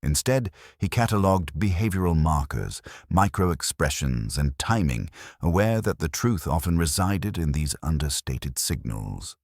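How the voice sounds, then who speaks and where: A man with a very deep voice narrates a detective story in measured, formal phrasing. His pitch rises and falls in an animated way, and the recording is dry and close, free of room sound. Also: no room sound. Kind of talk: scripted mystery story narration.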